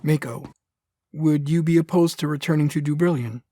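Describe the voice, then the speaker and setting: An actor playing a cool, dangerous young Sith son, speaking in a formal, guarded, low-key way. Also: male voice, normal speed, mellow, lightly acted. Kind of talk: audio drama acting.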